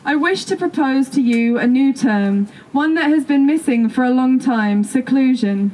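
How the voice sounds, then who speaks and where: A woman's voice: high-pitched, lively intonation, normal speed, warm tone, a little hiss or hum, poor audio. A woman giving a live performance reading of stories and texts in a theatrical storytelling manner. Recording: music behind the voice. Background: music.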